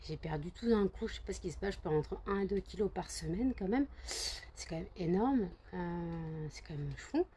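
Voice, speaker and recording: A woman's voice: fairly low pitch, expressive, moderate pace, warm tone, slight background noise. A woman vlogger chatting casually to camera, rambling with many fillers and repeated words. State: just woken up, groggy.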